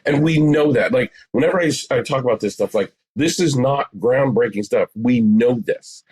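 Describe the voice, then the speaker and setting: A man's voice: medium pitch, normal speed, darkish tone, husky. A man in his forties explaining things conversationally as an interview guest, emphatic and repeating phrases for stress. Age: 40s. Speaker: psychotherapist, podcast guest.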